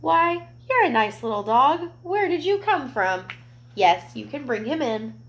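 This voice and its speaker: A woman reading a children's storybook as a kindly lady, welcoming and gently fussing. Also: high-pitched, very animated, a little slow, voice pushed up, heavily acted. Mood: pleasantly surprised. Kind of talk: children's storybook read-aloud.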